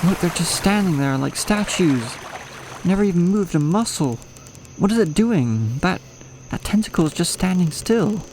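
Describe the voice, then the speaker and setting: A man's voice: sits high, lively intonation, normal speed, a little mellow, slight background noise. An actor playing an anxious, impulsive man in a horror audio drama, speaking with dramatic intensity. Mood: uneasy. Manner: urgent, dramatic. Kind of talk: horror audio drama dialogue and first-person narration. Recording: music behind the voice.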